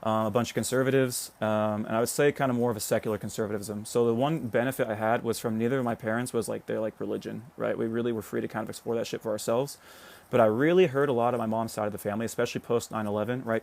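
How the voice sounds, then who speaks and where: Fast-talking American man ranting on a livestream. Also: fairly low pitch, steady tone, vocal fry, a little mellow. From United States. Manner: rambling, peppers talk with "right?" and swearing; reads out and answers viewer comments. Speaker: livestream host. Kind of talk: casual political livestream monologue.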